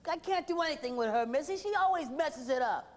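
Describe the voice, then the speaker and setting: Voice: high-pitched, animated, fairly quick. An actor voicing a bratty, stubborn, whiny young boy character in a children's educational puppet musical. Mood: grumpy, defiant. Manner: taunting, defiant, whiny. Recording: old VHS tape, music behind.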